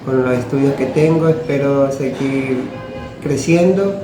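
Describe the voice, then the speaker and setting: A man in his forties from Ecuador, giving a plain, halting personal testimony. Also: medium pitch, music in the background. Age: 40s. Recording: echoey room with noise.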